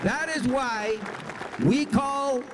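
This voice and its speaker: A man's voice: very high voice, extremely slow, big-room sound, a crowd in the background, noisy background. A man presenting scripted documentary narration in a solemn, emphatic way.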